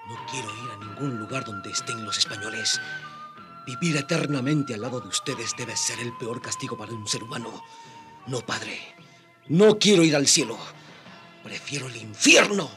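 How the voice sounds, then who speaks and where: An actor in a radio drama playing a proud, defiant young Indian rebel leader, speaking in a solemn, declamatory way. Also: male voice, medium pitch, moderate pace, music in the background, lightly acted. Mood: bitterly defiant.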